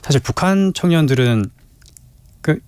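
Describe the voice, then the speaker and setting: A man's voice: a little high, expressive, a little slow, a little mellow, smooth, dry recording. A young man in his twenties from North Korea with a North Korean accent, answering interview questions politely and a bit hesitantly, often laughing lightly. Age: 20s. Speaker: guest. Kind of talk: radio interview. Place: radio studio.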